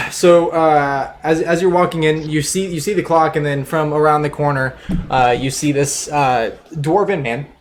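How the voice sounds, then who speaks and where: A man's voice: a little high, fairly even intonation, unhurried, a little hiss or hum. A man narrating as game master over a voice call, rambling with many fillers and restarts. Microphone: headset over a voice call.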